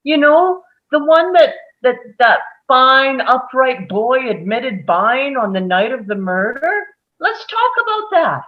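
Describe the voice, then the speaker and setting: A woman's voice: high voice, sing-song, slow pace, highs cut off, full character voice. A woman playing an impatient, domineering male juror in a script table read, with a brusque, sneering manner. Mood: sarcastic, insistent.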